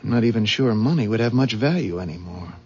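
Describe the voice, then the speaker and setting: An actor playing a rattled, suspicious husband in a 1970s radio drama, stammering and emphatic on a muffled recording. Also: male voice, fairly low pitch, expressive, fast, darkish tone, husky. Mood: stunned, worked up.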